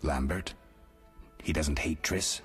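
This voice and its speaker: An actor playing a stoic, world-weary monster hunter in a video game, speaking in a terse, low growl. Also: male voice, slow pace, faint music behind. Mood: subdued, terse. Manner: terse, flat, understated.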